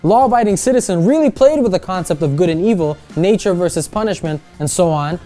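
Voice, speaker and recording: Woman, video host, mellow voice, scripted but opinionated, faint background music. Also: fairly low pitch, lively intonation, fairly quick. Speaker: video host presenting a countdown list. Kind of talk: scripted countdown narration with casual commentary.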